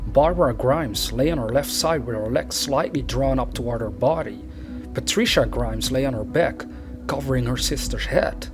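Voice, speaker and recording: A male narrator delivers a scripted true crime account. His voice sits at an average pitch for a man. Background music runs clearly underneath the narration. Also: normal speed.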